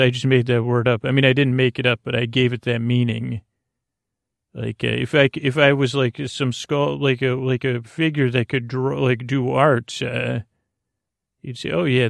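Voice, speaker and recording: A man hosting a sleep podcast with a meandering, halting bedtime monologue, gently whimsical and reassuring, often drifting into tangents. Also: mid-range voice, vocal fry, no room sound, clean studio sound.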